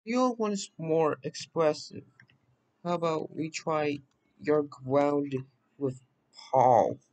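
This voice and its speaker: A man presenting a software demo in a casual walkthrough, pausing haltingly between phrases. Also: fairly high pitch, highs cut off. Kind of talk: casual screen-recording walkthrough. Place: voice-over on a screen recording.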